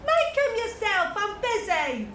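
An actress playing a blunt, offhand office receptionist with casual working-class speech in an audio drama. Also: female voice, extremely high pitch, very animated, takes it slowly, fairly bright tone, a little hiss or hum, full character voice. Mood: blunt, cheeky. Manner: offhand, blunt, drawling, ungrammatical.

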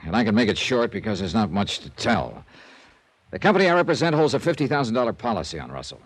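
A wry, dogged insurance investigator in a 1950s radio drama. His voice sits fairly low and is recorded dry and close. He delivers dry wisecracks and steady first-person narration. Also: quick pace, husky, no echo. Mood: matter-of-fact.

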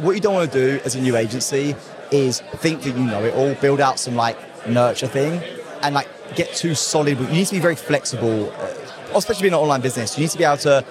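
Fast-talking English man at a busy event. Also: on the high side. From England. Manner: fast, upbeat, excitable, laughs often. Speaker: guest, call center company owner. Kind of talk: casual interview at a trade event. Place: busy conference floor. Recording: noisy crowded event hall. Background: crowd chatter.

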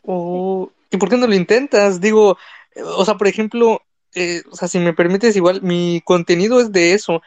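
A man's voice: sits high, steady tone, normal speed, a little mellow, dry recording, muffled recording. Rambling young Mexican man, dry sound. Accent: Mexican Spanish. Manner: rambling, many fillers and restarts. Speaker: content creator and podcaster. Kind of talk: casual live chat.

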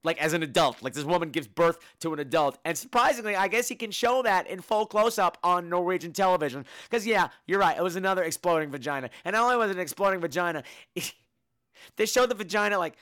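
A man's voice: high-pitched, animated, quick pace, fairly bright tone, clean tone, dry recording, studio quality. A man hosting a podcast in casual, campy comedic chat, full of sassy, mock-indignant banter. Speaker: podcast host.

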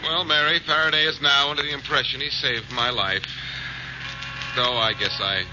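An actor playing a suave, wisecracking detective hero with wry, teasing banter and an American accent, in 1940s radio drama style. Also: male voice, mid-range voice, fairly even intonation, normal speed, close to an everyday voice. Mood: wry, taunting. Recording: muffled, noisy old radio recording.

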